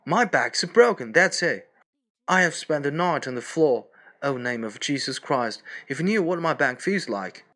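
A man voicing a starving, boisterous Russian man lying sick in a Paris attic, exclaiming loudly. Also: on the high side, lively intonation, a little slow, voice pushed up. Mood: groaning. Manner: groaning, exclamatory, swings to cheerful.

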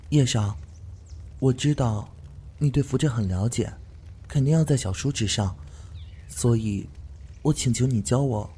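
A man's voice: mid-range voice, vocal fry, dark tone, husky. An actor playing a playful, curious and eager young man in a Chinese fantasy radio drama. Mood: earnest pleading.

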